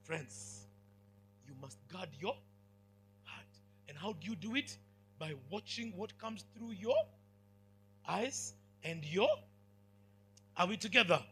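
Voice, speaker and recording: A man's voice: sits high, lively intonation, takes it slowly, pauses often, sharp tone, slight background noise. A man with a Kenyan accent preaching a sermon to students. He has a big, commanding voice, speaks emphatically and keeps asking the crowd whether they are following. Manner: emphatic, with call-and-response questions.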